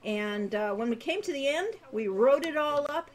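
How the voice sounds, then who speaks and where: An American woman in her sixties chatting casually on a livestream from home, halting and rambling as she thinks aloud. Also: high-pitched, animated. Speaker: game designer and co-writer, livestream host. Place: home room. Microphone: webcam-style setup, no headset, at desk distance.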